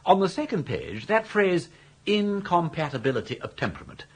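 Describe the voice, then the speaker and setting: An actor playing a jaunty, scheming older English gentleman in a radio comedy, garrulous and grandly worded. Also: sits high, sing-song, normal speed, heavily acted. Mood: persuasive, emphatic. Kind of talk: radio comedy drama acting.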